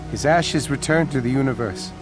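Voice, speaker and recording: A man's voice: mid-range voice, normal speed, close to an everyday voice. A man playing a stoic scholar in a fantasy video game, speaking formally and precisely with a dry delivery. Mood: solemn. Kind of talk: video game cutscene acting. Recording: voice over loud cinematic music.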